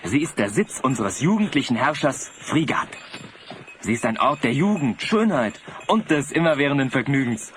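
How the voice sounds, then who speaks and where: Animated, naively earnest young man. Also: mid-range voice, moderate pace. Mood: proud, enthusiastic. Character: naive, vain young male sled driver, devoted citizen. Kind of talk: German audio drama acting. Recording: slightly noisy cassette audio drama.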